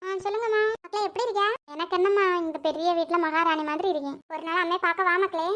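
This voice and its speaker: A woman's voice: extremely high pitch, fairly even intonation, fast, a bit bright. A woman playing a domineering, scheming middle-aged stepmother in a family melodrama, with a Kanyakumari Tamil accent. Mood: smug.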